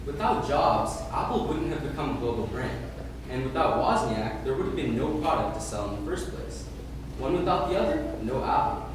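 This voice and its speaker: A young male student speaker gives a rehearsed speech with deliberate, emphatic phrasing. His mid-range voice moves with lively intonation. The recording is heavily reverberant. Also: medium pitch. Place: live talk in a large room. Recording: roomy, distant, noisy event recording.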